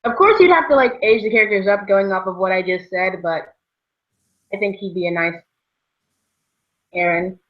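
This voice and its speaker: A woman's voice: medium pitch, moderate pace, long pauses. A young woman co-hosting a casual, chatty discussion about books. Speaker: book discussion show co-host. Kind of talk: casual book chat. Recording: dull, lo-fi sound.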